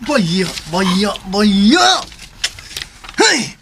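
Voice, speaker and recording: An excitable, swooping Filipino son. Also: male voice, sits high, extremely slow, slight background noise, full character voice. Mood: fussing. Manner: fussing, stammering, repeating words. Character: excitable, fussing adult son named Federico. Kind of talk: Filipino radio drama acting.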